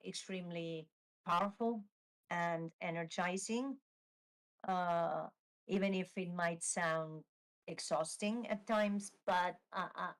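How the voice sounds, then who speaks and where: An Italian-accented woman, Italian by mother tongue, speaking slowly and carefully in English. Her voice sits somewhat low, and she pauses often with fillers and restarts as she gathers her thoughts. She is heard over a video call during a live Q&A. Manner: hesitant, many fillers and restarts. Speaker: author. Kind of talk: live online Q&A.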